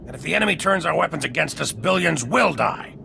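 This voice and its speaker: Military commander for a game: deep-grained fry, resolute, earnest, authoritative, noisy ambience. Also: male voice, animated, fast talker, bright, forceful tone, full character voice. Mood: urgent. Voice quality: gravelly. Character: grim, determined male military general. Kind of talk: video game voice acting.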